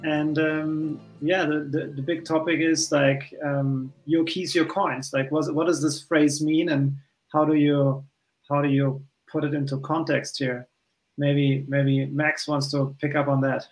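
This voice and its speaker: A man's voice: fairly high pitch, level tone, measured pace, faint music behind, rough recording. A man moderating a panel over a video call, with a hesitant way of talking, restarting and repeating words. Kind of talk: hosting a panel discussion. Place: home room, in doors.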